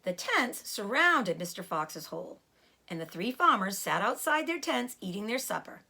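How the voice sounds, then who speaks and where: A woman teacher reading a children's storybook aloud in an expressive way. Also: medium pitch, moderate pace, gravelly.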